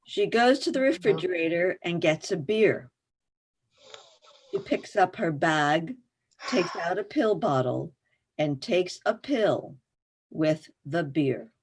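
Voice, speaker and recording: A woman's voice: lowish voice, animated, slow pace, husky. A woman narrator reading stage directions for a play in scripted narration.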